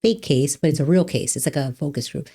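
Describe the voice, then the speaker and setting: A woman with a low voice hosts a relaxed podcast chat. She rambles warmly through her points, restarting sentences and leaning on "like". It sounds like easy talk between friends. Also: fast talker, breathy edge. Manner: chatty, rambling, lots of "like" and restarts. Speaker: podcast host and trial attorney. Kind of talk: casual podcast conversation.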